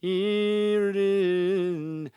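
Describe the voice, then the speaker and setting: A woman delivers an old lament at a very slow pace, solemn and drawn out. Her voice is exceptionally smooth and resonant, set in a dry, close recording. The style is lyrical and mournful. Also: medium pitch, steady tone, dark tone, dry recording, faint music behind.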